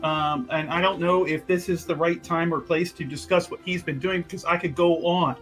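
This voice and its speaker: A man's voice: on the high side, fairly even intonation, moderate pace, a bit bright, faint music behind. A man introducing his tabletop game character casually, rambling and searching for words. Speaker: tabletop roleplaying player.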